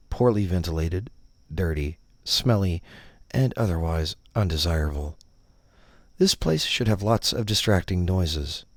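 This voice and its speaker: A man with a very low voice leads a mock guided meditation at a slow, drawn-out pace. His delivery is deadpan and condescending, yet his pitch swings widely as he gives each instruction. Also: dry recording, studio quality. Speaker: narrator of a parody guided meditation. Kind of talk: scripted parody guided meditation narration.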